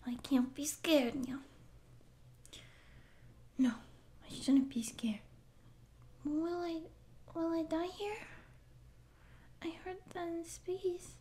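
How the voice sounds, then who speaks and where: A young catgirl space pirate in a sci-fi audio roleplay. Her voice is tiny, girlish and extremely high, and she speaks slowly, trying to bluster but sounding timid and eager underneath. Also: darkish tone, full character voice. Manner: nervous bluster that slips into meek rambling. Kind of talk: scripted ASMR audio roleplay.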